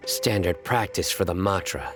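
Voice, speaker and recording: An actor playing a stern, uncompromising enforcer and hunter in a video game, with a formal, grave, commanding delivery. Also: male voice, low voice, lively intonation, normal speed. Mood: stern, threatening. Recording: studio voice under prominent music.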